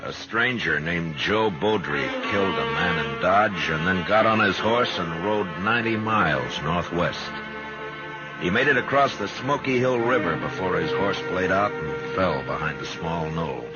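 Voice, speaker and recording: An actor playing a stern, laconic Old West marshal in a terse, deadpan 1950s radio western style. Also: male voice, deep voice, unhurried. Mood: grim narrating. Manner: terse, deadpan, hard-bitten. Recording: dull old radio recording, music behind.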